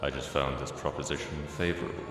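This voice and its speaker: A man's voice: rumbling low, fairly even intonation, fast talker, over music. An actor playing a grave male figure in a fan audio drama. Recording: cavernous echoing hall reverb.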